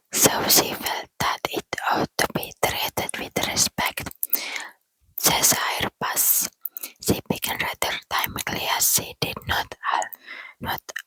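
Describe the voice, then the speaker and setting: A woman narrating a storybook in a soft, steady ASMR whisper, close to the mic. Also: dry recording, poor audio.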